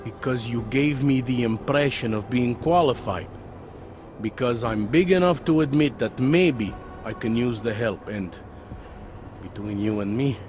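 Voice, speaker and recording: An actor playing a weary, self-deprecating older cop near retirement in a video game, talking in a wry, conversational way. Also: male voice, fairly low pitch, lightly acted. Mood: uneasy, confiding. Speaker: police officer. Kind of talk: video game cutscene dialogue. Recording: background music under dialogue.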